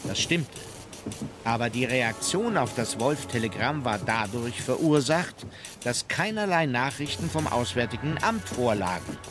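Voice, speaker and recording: Restrained admiral-narrator in historical radio play: mid-pitched, factual, with music underneath. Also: male voice, big pitch swings, measured pace. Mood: composed. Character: composed German admiral recounting his memoirs. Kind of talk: scripted memoir narration in audio drama.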